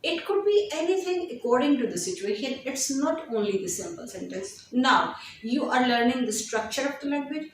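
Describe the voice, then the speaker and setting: Woman, non-native English speaker, teaching tone, deliberate repetitions, distant mic, faint room hiss. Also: high voice, lively intonation. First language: not English. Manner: slow, deliberate, repeats phrases while explaining. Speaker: English teacher, channel host. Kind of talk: explanatory lesson to camera. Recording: echoey room, somewhat far from mic.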